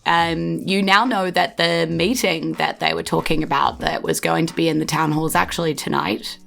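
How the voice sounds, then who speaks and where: A woman's voice: deep voice, fairly even intonation, normal speed, gravelly, fairly bright tone, faint music behind. A woman with a New Zealand accent, narrating a tabletop game as dungeon master in a chatty way, with frequent laughs and filler words. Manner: chatty, laughs often, many ums.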